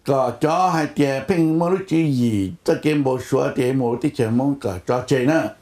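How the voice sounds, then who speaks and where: A man's voice: mid-range voice, normal speed, a little mellow. A man speaking spontaneously in a discussion on a conference call.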